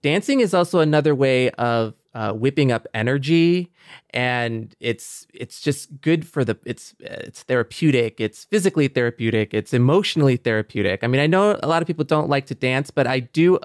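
Man, medium pitch, casual podcast discussion, affable, hesitant phrasing, dry close recording. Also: lively intonation, normal speed, no room sound. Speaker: podcast panelist.

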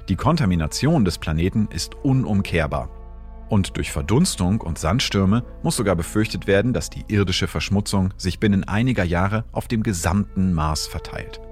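A man with a very deep voice narrates science explanations for an audio drama podcast. His delivery is lively and varied, with a faint bed of music under him. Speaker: podcast host and astrophysicist.

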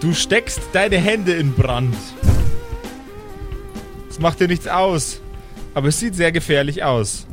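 Higher-voiced male game master. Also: takes it slowly, pauses often, music in the background. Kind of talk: improvised narration of a tabletop game.

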